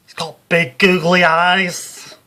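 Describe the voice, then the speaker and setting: A brash female stage psychic with a Liverpool accent, performed by a man. The voice sits high and forceful, full of bossy, showy patter. It belongs to a storybook character who loves working a village hall. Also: flat delivery, slow, exaggerated voice. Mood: alarmed, dramatic. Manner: loud, bossy, theatrical patter.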